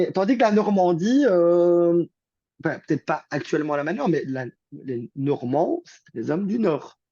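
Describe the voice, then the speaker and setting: High-voiced man, rambling with fillers. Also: warm tone, dry recording, muffled recording. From Belgium. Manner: rambling with many fillers and restarts. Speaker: guest. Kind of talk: casual conversation.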